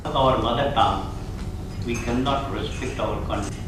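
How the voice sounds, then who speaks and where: A man's voice: medium pitch, moderate pace. A man from India giving an emphatic formal speech full of rhetorical questions. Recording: echoey hall, far mic, hum.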